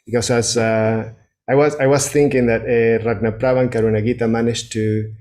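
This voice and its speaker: A man's voice: mid-range voice, flat delivery, a little fast, a little mellow. A man from Venezuela with a Venezuelan Spanish accent, giving a reflective Buddhist talk to a group in a hesitant way, often checking in with a "yeah". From Venezuela, Andes.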